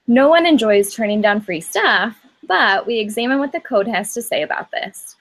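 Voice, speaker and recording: Woman, student moderator, scripted debate intro, steady careful reading. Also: on the high side, moderate pace. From Indiana, USA.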